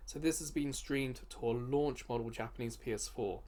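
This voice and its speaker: A man's voice: medium pitch, normal speed, darkish tone. A man giving casual gameplay commentary, thinking aloud and musing to himself as he hosts. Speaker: host of a gaming video series. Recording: game music behind the voice. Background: video game music.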